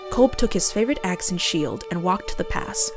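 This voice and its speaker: A woman's voice: on the low side, expressive, normal speed. A woman reading scripted narration for a fantasy storybook. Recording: game music under the voice. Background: fantasy game music.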